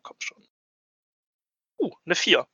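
A man's voice: slow, sharp tone, no echo, dull audio. A man playing a tabletop game, chatting casually and thinking aloud in a tentative way.

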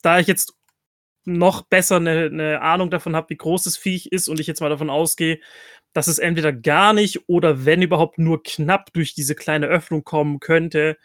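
Höher klingender Mann, laut denkender Rollenspieler. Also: male voice, on the high side, fairly even intonation, moderate pace, a bit bright, no echo, studio quality. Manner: thinking aloud, mixing in English game terms. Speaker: tabletop game player. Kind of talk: casual game-table chat.

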